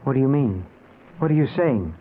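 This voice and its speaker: A man's voice: medium pitch, animated, moderate pace, lightly acted. An actor playing a newly dead, anguished man who narrates his afterlife in a theatrical old-time radio drama. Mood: desperate pleading. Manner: theatrical, intense, pleading. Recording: noisy, narrow-band old radio recording.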